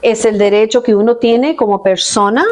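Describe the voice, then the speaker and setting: A middle-aged woman from California, a personal injury lawyer, calmly explaining legal points in an interview and slipping in English terms. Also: fairly high pitch, moderate pace. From California, United States. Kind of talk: interview answers.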